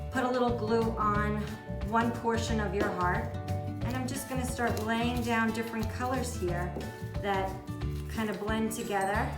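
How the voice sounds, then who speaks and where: A woman presenting a children's story time, reading aloud and giving simple, encouraging explanations. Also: fairly high pitch. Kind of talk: children's storytime read-aloud and craft instruction.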